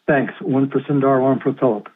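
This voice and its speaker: A man's voice: mid-range voice, fairly even intonation, normal speed. A man putting questions as a financial analyst on an earnings call, over a tinny phone line.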